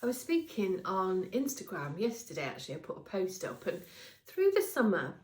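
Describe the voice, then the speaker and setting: A woman's voice: medium pitch, big pitch swings, normal speed, a little mellow. This is a middle-aged British woman hosting a casual craft vlog, with noticeable room echo around her. She sounds congested and a little nasal from a cold. She talks in a chatty, wandering way, repeating words for emphasis and tagging little questions onto her sentences. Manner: chatty, rambling, emphatic repetition, tag questions. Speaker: knitting podcast host. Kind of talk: casual chat to camera. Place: indoors at a craft workplace. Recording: roomy, echoing indoor sound.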